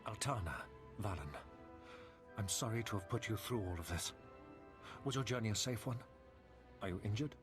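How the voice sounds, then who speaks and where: An actor as a grave middle-aged nobleman in a game cutscene. He speaks formally and with measured solemnity in a lowish voice, with music clearly playing behind. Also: lively intonation, natural voice. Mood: tender, concerned. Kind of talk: video game cutscene acting.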